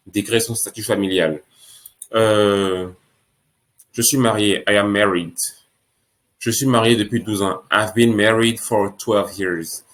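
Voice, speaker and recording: Slow, low-voiced male English teacher. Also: fairly bright tone. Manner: slow, repeats each phrase in French and English. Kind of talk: French-language English lesson.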